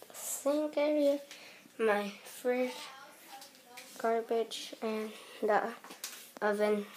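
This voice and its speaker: A young girl walks through a small apartment with a phone in her hand, narrating in a slow, halting way as she points things out. Her voice sits a little high, and the recording picks up steady noise from the handheld phone. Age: child. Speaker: vlogger giving a home tour. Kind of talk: casual home tour narration. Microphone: handheld phone camera, moving.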